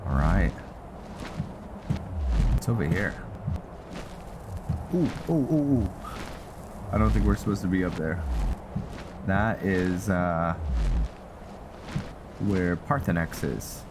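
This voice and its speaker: A man's voice: sits low, expressive, takes it slowly, pauses often. A man giving casual commentary on a roleplay game, rambling and thinking aloud as he plays. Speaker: gaming channel host. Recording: clearly noisy background.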